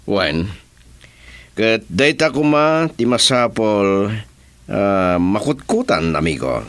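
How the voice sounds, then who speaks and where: A Filipino man playing a scheming boss in a radio drama, with theatrical, drawn-out phrasing. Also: fairly low pitch, very animated, fairly bright tone, dry recording, full character voice.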